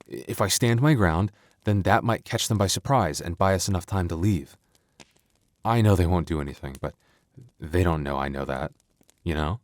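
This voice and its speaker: A man playing a playful, devoted, soft-hearted young werewolf boyfriend in an intimate ASMR roleplay, teasing and conversational, halting when flustered. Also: deep voice, no room sound, lightly acted. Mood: reassured, tender.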